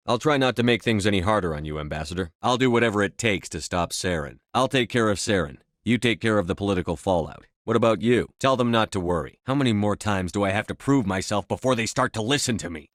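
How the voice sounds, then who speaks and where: A man's voice: lowish voice, no echo, studio quality, natural voice. A man playing a resolute, blunt military commander in a science fiction video game, speaking firmly and tersely with authority. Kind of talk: scripted game dialogue.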